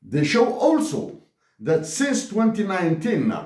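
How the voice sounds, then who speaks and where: Algerian man reading an open letter, slow and deliberate, lively pitch, roomy echo. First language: Tamazight. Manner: slow, emphatic delivery with word restarts. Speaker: commentator. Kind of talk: read-aloud open letter.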